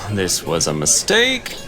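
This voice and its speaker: A man's voice: sits low, wildly swooping pitch, slow pace, sharp tone, soft background music, heavily acted. A man playing a stoic, weary hunter in a fantasy audio drama, dry and placating in manner. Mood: weary, stern.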